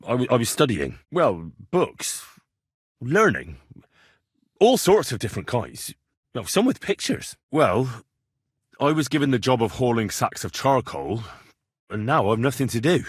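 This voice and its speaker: An actor playing a respectful, earnest young vagabond who poses as a learned medieval healer in a video game, speaking in measured, formal address. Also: male voice, mid-range voice, very animated, slightly rough, no echo, clean studio sound. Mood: apologetic, hesitant. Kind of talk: scripted video game dialogue.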